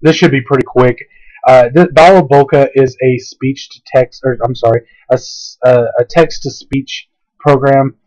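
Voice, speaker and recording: A hoarse man casually talking through a software tutorial, rambling and hesitant with lots of fillers. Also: mid-range voice, steady tone, moderate pace, no echo, poor audio. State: hoarse, voice going out. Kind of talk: casual screen-recorded tutorial.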